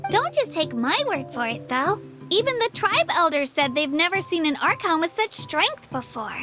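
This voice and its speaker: Very high, cheerful young game guide girl. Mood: admiring. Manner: friendly, reassuring, breezy. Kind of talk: video game voice acting. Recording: game music behind.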